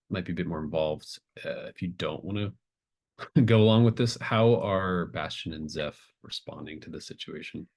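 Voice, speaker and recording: Low-voiced man running a tabletop game. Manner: hesitant, searching for words as he improvises. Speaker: game master. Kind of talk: improvised tabletop game narration.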